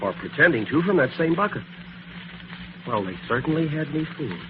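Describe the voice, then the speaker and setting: A man's voice: fairly high pitch, lively intonation, fairly quick, lightly acted. An actor playing a detective's easygoing, plain-talking male sidekick in an old radio drama. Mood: puzzled, relieved. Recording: muffled, noisy old radio recording.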